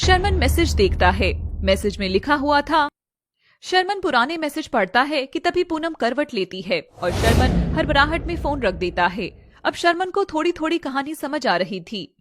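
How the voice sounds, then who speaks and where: A woman's voice: high voice, big pitch swings, normal speed, soft background music. A woman narrator reading a scripted story in a dramatic style.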